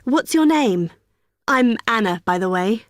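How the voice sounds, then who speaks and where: An actress playing a friendly student union receptionist who explains a form patiently in a scripted exam dialogue. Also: female voice, on the high side, lively intonation, measured pace, no room sound, studio quality. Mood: helpful. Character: cheerful young female union helper.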